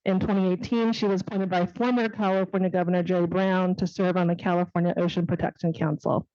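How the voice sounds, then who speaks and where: A woman hosting an event, reading speaker bios in a steady, formal way. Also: on the low side, fast, dry recording. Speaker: event host introducing speakers. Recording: dull, dry audio.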